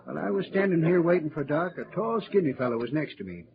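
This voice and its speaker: An excitable, poker-loving prospector in an old radio western. His American voice sits fairly high and swoops all over in folksy, chuckling talk. The recording is dull, with a saloon crowd behind him. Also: fast, vocal fry. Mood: jovial, boastful. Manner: folksy, colloquial, chuckling, animated. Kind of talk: 1940s-50s radio drama acting.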